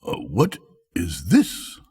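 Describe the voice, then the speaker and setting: A vain storybook emperor played by a man, low-pitched and a little husky. He sounds ponderous and self-questioning, an insecure ruler trying to keep up appearances. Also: wildly swooping pitch, slow, darkish tone, full character voice. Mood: dismayed. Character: vain, insecure male emperor, privately anxious.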